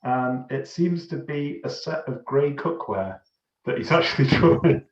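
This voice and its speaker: A man co-hosting a podcast, casually discussing a film in a halting, wry way. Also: mid-range voice. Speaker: podcast co-host. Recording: slightly dull, echoey room.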